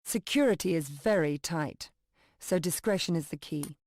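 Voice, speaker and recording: A composed female handler from a video game, giving a mission briefing. Her voice is mellow and very dry, her manner formal and businesslike. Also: deep voice, moderate pace, no echo. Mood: cool, businesslike.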